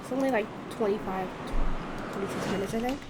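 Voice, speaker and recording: A woman's voice: mid-range voice, fairly quick, very noisy. A woman hosting a gaming video, casually commenting and thinking aloud as she plays. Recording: loud game music behind voice.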